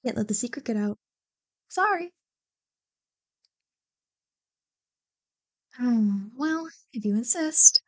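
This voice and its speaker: A woman's voice: on the high side, lively intonation, measured pace, fairly bright tone, no echo. A woman acting a playful, teasing young childhood friend in a casual, joking ASMR roleplay. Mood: playful, reassuring. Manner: bubbly, joking, playfully bantering. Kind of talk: ASMR roleplay, one-sided casual conversation.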